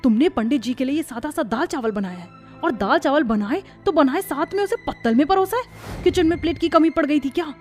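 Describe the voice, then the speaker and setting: A woman's voice: high voice, animated, brisk, a little mellow, faint music behind, pitched above the performer's own voice. A woman voicing a haughty, scornful rich mother-in-law in a Hindi moral-story cartoon.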